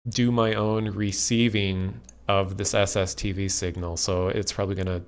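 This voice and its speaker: A man's voice: sits low, little pitch movement, normal speed, smooth. A man hosting a livestream, explaining things in an informal, rambling and enthusiastic hobbyist way. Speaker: livestream host. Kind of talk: casual livestream talk explaining a radio hobby.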